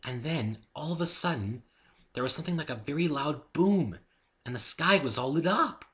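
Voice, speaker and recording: A man voicing a meek, gentle young female pony, polite and apologetic, in a dramatic story reading. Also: a little high, lively intonation, normal speed, voice pushed up. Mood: wistful. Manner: meek, soft-hearted, apologetic.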